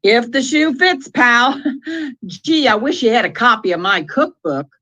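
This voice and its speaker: A woman's voice: fairly high pitch, animated, unhurried, sharp tone, lo-fi sound, heavily acted. A woman playing a breezy, wisecracking neighbour in an old-time radio comedy, with playful theatrical delivery. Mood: breezy, teasing.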